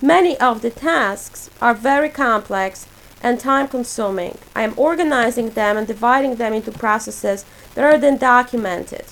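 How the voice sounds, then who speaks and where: A woman in her forties from Poland, a native Polish speaker, reading a prepared self-introduction for her portfolio in a measured, list-like way. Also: on the high side, a bit bright, poor audio. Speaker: graduate student presenting a portfolio.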